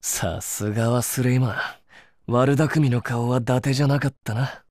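An actor playing a courteous, inquisitive newspaper reporter in a Japanese video game, a man with a measured way of talking. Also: mid-range voice, fairly even intonation, darkish tone, no echo, close to an everyday voice. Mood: casual, wry. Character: courteous, probing young newspaper reporter interviewing royalty.

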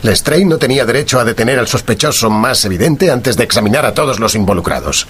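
Guy as a sharp commanding gentleman detective, spanish radio play, castilian accent, animated intonation, slight noise. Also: mid-range voice, fast talker, close to an everyday voice. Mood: reflective, assured. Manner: authoritative, precise, formal. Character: shrewd, commanding gentleman detective, composed and self-assured. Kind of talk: radio drama acting.

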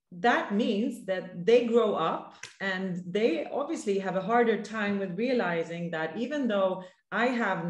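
A woman in her forties telling a childhood story on a panel over a video call, animated with her hands. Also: mid-range voice, reverberant. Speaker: panelist, TV show host. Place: room with posters, indoors. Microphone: laptop video call.

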